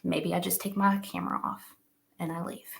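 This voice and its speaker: A woman's voice: on the low side, level tone, normal speed, gravelly, fairly bright tone. A woman giving an emphatic, encouraging motivational talk over a video call at a virtual summit. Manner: emphatic, encouraging, addresses listeners as friend. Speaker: summit speaker.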